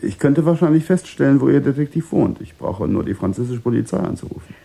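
An actor playing a guarded, evasive man in a crime radio drama, with a husky, mellow voice. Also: mid-range voice, expressive, quick pace. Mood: guarded, insistent. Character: guarded, evasive American man caught up in a kidnapping.

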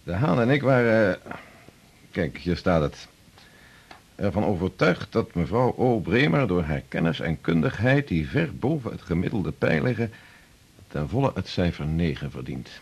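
Gravelly, deep senior doctor. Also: male voice, very animated, moderate pace, heavily acted. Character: senior male doctor, gruff but fond.

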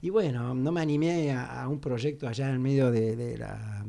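Un hombre con acento argentino, invitado en una charla radial, que cuenta anécdotas entre risas y muchas vacilaciones. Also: male voice, mid-range voice, steady tone, moderate pace, creaky, warm tone. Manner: rambling anecdotes, frequent laughs and hesitations. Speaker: guest. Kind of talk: casual radio conversation.

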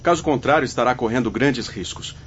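An actor playing a stern, concerned official of a spirit colony in a radio drama, speaking formally. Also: male voice, mid-range voice, a little fast, natural voice. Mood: cautioning. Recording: dull recording with faint ambient noise.

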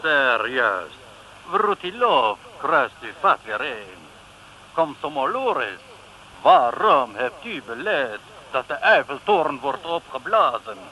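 An actor playing a gloating, preachy Soviet agent, declaiming slogans in broken grammar in a 1950s radio comedy-thriller. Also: male voice, medium pitch, sing-song, slow pace, bright, forceful tone, heavily acted. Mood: menacing. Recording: noisy vintage radio recording.

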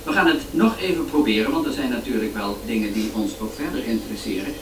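A man's voice: lowish voice, quick pace. A man hosting a live broadcast interview, putting questions over a two-way radio link. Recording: echoey, distant, noisy radio recording with clicks.

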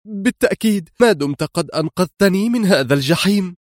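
A man voicing an anxious, eager young woman in a spoken story, exclaiming excitedly. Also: on the high side, fairly quick, no echo, studio quality, pitched above the performer's own voice, lightly acted. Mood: relieved eager.

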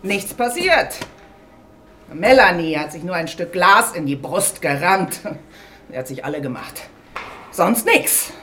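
Radio play role: blunt, unbothered employee, swooping bright delivery, off mic. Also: medium pitch, big pitch swings, a little slow, pauses often, recorded from a distance, slight background noise. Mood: offhand. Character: callous staff member shrugging off a gory mishap.

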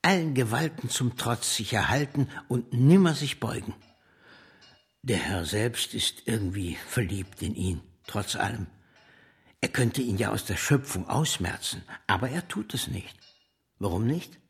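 Husky, defiant socialist leader. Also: male voice, mid-range voice, expressive, unhurried, heavily acted. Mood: ardent. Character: defiant, idealistic male socialist leader, ardent and proud. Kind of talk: German radio drama acting.

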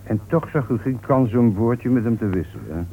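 An actor playing a composed, dryly polite police inspector in a radio play, measured and insistent in his questioning. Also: mid-range voice, close to an everyday voice. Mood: polite, probing. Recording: tinny, noisy old radio play recording.

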